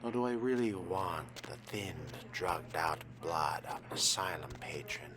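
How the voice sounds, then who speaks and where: A man role-playing a vampire character as he plays a game, musing aloud in a deliberate, formal, often hushed manner. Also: low voice, expressive, background noise. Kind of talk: in-character roleplay narration of game playthrough.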